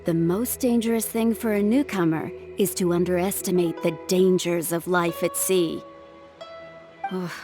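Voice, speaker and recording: An actress playing a composed, caring ship's surgeon in a video game, explaining things in a measured, polite, cautioning way. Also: female voice, fairly low pitch, darkish tone, natural voice. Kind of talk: scripted video game dialogue.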